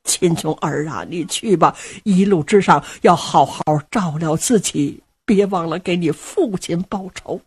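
A grieving elderly mother who speaks softly and drags out her words, as a woman storyteller plays her. Her husky voice trembles with sorrow and stays hushed. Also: on the low side, a little slow, few pauses, heavily acted. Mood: tender.

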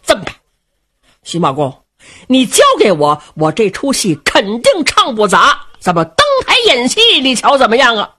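Lady storyteller putting on a loud rowdy rebel king guy, booming and brash, husky and swinging all over in pitch. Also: sing-song, moderate pace, exaggerated voice. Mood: boastful. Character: boisterous carefree middle-aged male rebel king.

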